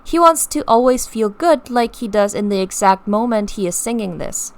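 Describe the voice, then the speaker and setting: Smooth-voiced woman explaining lyrics. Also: on the high side, animated. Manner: measured, patient explaining. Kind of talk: scripted explanatory voice-over.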